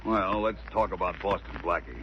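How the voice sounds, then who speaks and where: A man's voice: low voice, moderate pace, fairly bright tone. An actor playing an insistent building contractor pressing his case in an old-time radio drama. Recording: muffled, noisy old radio recording.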